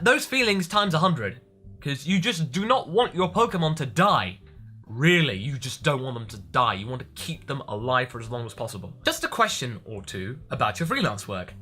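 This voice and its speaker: A man around his thirties talking casually and enthusiastically in a question-and-answer vlog. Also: on the high side. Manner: enthusiastic, animated. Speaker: online vlogger.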